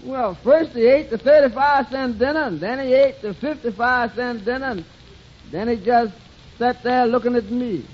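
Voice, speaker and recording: An actor playing a skeptical, exasperated waiter who grumbles wisecracks in a 1940s radio sitcom. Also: male voice, very high voice, normal speed. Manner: sardonic, folksy grumbling. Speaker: comedy supporting player. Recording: muffled, noisy 1940s radio, audience laughter.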